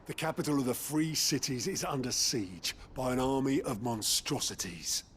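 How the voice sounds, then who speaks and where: An actor playing a gruff, plain-spoken lieutenant delivering reports in a video game. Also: male voice, mid-range voice, moderate pace, a bit bright, a little hiss or hum, close to an everyday voice. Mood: sober, worried. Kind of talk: dramatic video game acting.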